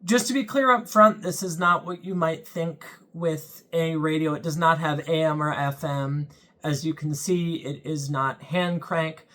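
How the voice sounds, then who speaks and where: A man's voice: on the high side, steady tone, unhurried, fairly bright tone. A young man hosting his own channel, giving a casual, earnest product review in a plain-spoken way with frequent ums. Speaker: channel host reviewing a weather radio.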